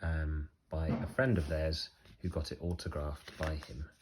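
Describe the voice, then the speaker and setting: Man, low-pitched, expressive storybook reading, emphatic phrasing, teacherly. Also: measured pace, noisy background.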